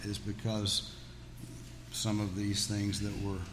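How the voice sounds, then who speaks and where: A man's voice: fairly low pitch, completely flat delivery, slow pace. A man teaching scripture to an audience in a folksy, conversational way, with joking asides. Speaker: Bible teacher. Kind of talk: religious teaching with audience questions. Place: large echoey room with an audience. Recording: echoey hall with background noise.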